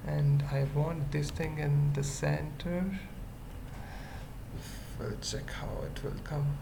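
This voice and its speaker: A coding tutorial presenter thinking aloud in short, halting fragments during an unscripted screencast. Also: medium pitch, level tone, vocal fry. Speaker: web development tutorial presenter. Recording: noisy mic, keyboard typing. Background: computer keyboard typing.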